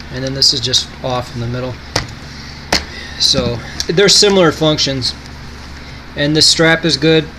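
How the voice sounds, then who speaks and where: A man's voice: on the high side, background noise. A man giving a casual product demonstration in an offhand, rambling way.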